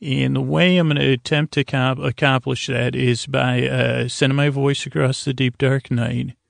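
Creaky guy doing a sleepy podcast monologue, pretty monotone, meanders and stumbles along, soothing. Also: mid-range voice, no echo, clean studio sound. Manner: soothing, lulling, halting delivery. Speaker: host of a bedtime podcast. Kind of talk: rambling, meandering monologue for falling asleep.

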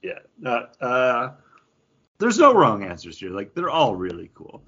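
A man chats casually as a podcast co-host, enthusiastic and full of slang. His voice is husky and sits a little low. The recording is slightly dull. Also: wildly swooping pitch, a little slow, fairly bright tone. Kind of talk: casual chat about comics and toys.

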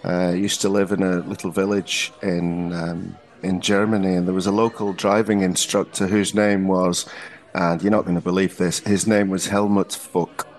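A man's voice: low-pitched, little pitch movement, normal speed. A man co-hosting a podcast in casual comic banter, questioning things in a hesitant, bemused way. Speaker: podcast co-host.

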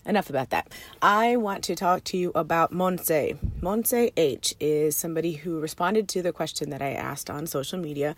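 Hoarse woman podcasting from her minivan. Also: lowish voice. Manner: upbeat and chatty, with fillers and restarts. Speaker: podcast host and money coach. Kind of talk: solo podcast monologue. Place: parked minivan.

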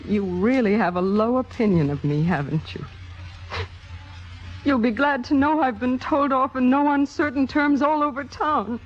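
An actress playing a young, ambitious, coolly calculating stage actress in a 1950s radio drama, poised and theatrical. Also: female voice, high-pitched, moderate pace, natural voice. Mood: wounded, tearful pleading. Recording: muffled vintage radio broadcast.

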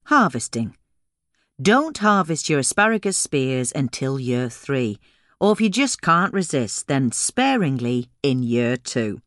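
A woman reading a scripted instructional guide in a measured, clearly enunciated way. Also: very deep voice, sing-song, no room sound, clean studio sound. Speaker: reader of a gardening guide.

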